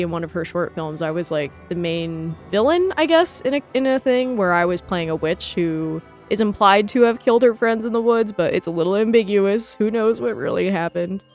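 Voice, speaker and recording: A young woman with an American accent talks in a casual, chatty way about art. She sounds enthusiastic, with a medium-pitched voice. Soft music plays behind her. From New Jersey, United States. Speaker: artist and video host. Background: background music.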